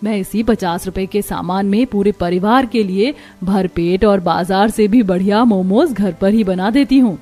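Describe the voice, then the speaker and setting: A woman voicing a practical, caring young mother in a cartoon story, gently firm. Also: medium pitch, normal speed, few pauses, faint music behind, lightly acted. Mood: gently persuading. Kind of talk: Hindi cartoon story acting.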